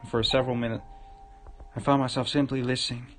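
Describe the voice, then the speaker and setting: Male streamer, medium pitch, reading game narration aloud in a stumbling way, very loud music underneath. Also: level tone, quick pace. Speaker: livestreamer.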